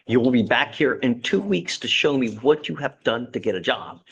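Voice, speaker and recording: A man's voice: medium pitch, lively intonation, normal speed, creaky, a bit bright, highs cut off. A man serving as judge in a courtroom, lecturing a defendant from the bench with blunt sarcasm and folksy sayings.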